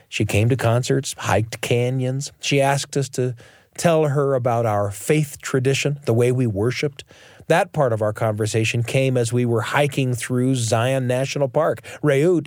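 A male radio host narrates a personal story in a very animated, varied pitch. His phrasing is measured and expressive, with pauses for drama. The recording is dry and free of room sound. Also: dry recording.

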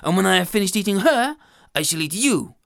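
A woman's voice: mid-range voice, big pitch swings, vocal fry, no room sound, clean studio sound. Woman playing a boastful man-eating goat. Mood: menacing. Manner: slow, grand threats, shrieking shouts when bitten. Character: menacing greedy male goat from the hills.

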